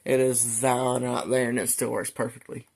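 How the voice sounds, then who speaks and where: A man hosts a casual hobby video with a creaky edge to his voice. He rambles along conversationally at a middling pitch, as if chatting to regular viewers. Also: flat delivery, moderate pace, very noisy. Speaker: host of a weather radio channel. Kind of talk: casual product talk to camera.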